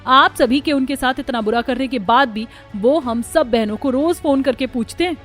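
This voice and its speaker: A woman's voice: high voice, normal speed, fairly bright tone, faint music behind. A woman voicing a devoted, outspoken young sister in a family cartoon, earnest and emphatic. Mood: defiant.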